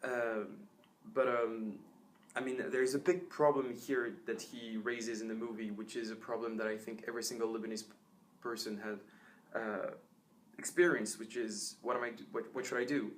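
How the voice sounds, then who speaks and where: A man's voice: mid-range voice, flat delivery, moderate pace, a little hiss or hum. Thoughtful young man explaining, with many uhs. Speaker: student. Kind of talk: casual testimonial.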